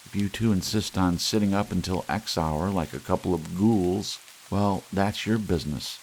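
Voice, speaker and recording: A man voicing a sharp-tongued, exasperated wife with a biting, sarcastic manner in a short story reading. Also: low-pitched, normal speed, voice pushed up, poor audio. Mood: weary, curt. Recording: steady hiss, rain sound underneath.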